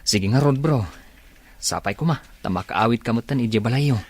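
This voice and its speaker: A man's voice: medium pitch, normal speed, a little mellow, breathy edge, close to an everyday voice. A man with an Ilocano accent playing a loyal, supportive young friend in a Filipino radio drama.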